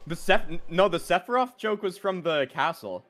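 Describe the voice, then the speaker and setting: A man's voice: sits high, expressive, normal speed, fairly bright tone, a little hiss or hum. A man co-commentating a game stream in casual, jokey, sweary banter.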